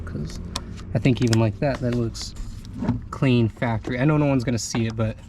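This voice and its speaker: A relaxed man at a middling pitch chats his way through a truck project, pausing on "um" and "uh" as he works. A faint hiss sits under his voice, and he sometimes leans away from the mic. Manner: relaxed, thinking aloud with fillers. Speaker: vlogger installing a CB radio. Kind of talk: casual how-to vlog narration. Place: inside a pickup truck cab.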